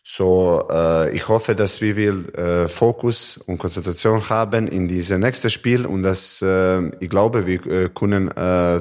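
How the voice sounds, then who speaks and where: A handball player in a podcast interview, speaking halting non-native German with many fillers, originally from Montenegro. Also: male voice, sits low, darkish tone. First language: not German.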